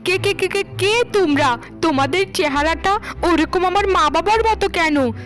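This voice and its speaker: A woman acts out a snobbish young married daughter in a cartoon melodrama. The voice is extremely high and shrill, scolding and stuttering in a fluster, with faint music behind. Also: a little slow, fairly bright tone. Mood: alarmed, scolding. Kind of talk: Bengali cartoon melodrama acting.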